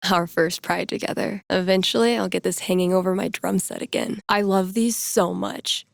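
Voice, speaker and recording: Wry nerdy young woman from a video game, thinking out loud, casual n a bit sarcastic, close dry sound. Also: fairly low pitch, fairly even intonation, moderate pace, vocal fry, no room sound. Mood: fond, wistful. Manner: casual, dryly sarcastic, with sighs and playful asides. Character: wry young woman, nerdy musician working in a record store.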